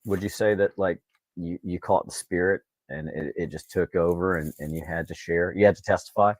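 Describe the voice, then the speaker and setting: A man's voice: sits low, fairly even intonation, brisk, dry recording. A man co-hosting a casual chat, riffing jokingly in mock-grand fashion. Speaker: show co-host.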